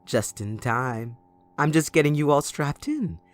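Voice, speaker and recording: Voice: medium pitch, measured pace, natural voice. A performer voicing a gleeful, sadistic supervillain kidnapper in an audio roleplay, theatrical, mocking and possessive toward a captive listener. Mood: mock caring. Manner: theatrical, mocking, giggling, swooping between croon and shriek.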